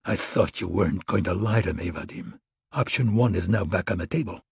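A man voicing a cold, menacing male operative who talks low and clipped. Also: brisk, gravelly, husky, no room sound, studio quality, full character voice.

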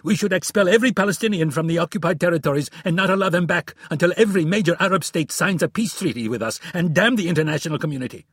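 A man's voice: on the high side, fast talker, hardly any pauses, breathy edge, dry recording, studio quality, voice pushed up. A man doing a cold, ruthless spy chief. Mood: defiant. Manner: blunt, forceful and uncompromising. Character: cold, supremely confident male spy chief.

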